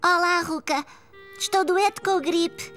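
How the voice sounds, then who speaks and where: A woman's voice: extremely high pitch, fairly even intonation, slow pace, a bit bright, faint music behind, full character voice. A woman voicing a sweet young girl in a children's cartoon, with a European Portuguese accent. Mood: glum.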